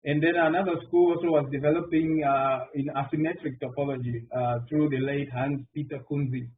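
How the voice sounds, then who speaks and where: A man's voice: fairly high pitch, steady tone, a little fast, distant mic. A middle-aged man from Zimbabwe, a mathematician on a panel, talking with many fillers and restarts. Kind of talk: round table answer. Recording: phone-like online call audio with faint noise.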